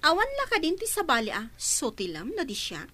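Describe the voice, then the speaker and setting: A woman's voice: high voice, huge pitch swings, brisk, sharp tone, heavily acted. A woman playing a bratty, quarrelsome, domineering daughter in a radio drama, sneering and confrontational.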